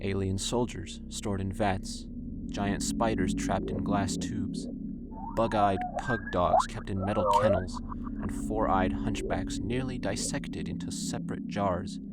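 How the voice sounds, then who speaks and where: A man reading scripted dramatic story narration in a solemn, deliberate manner. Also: low-pitched, steady tone, very noisy. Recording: loud music under narration.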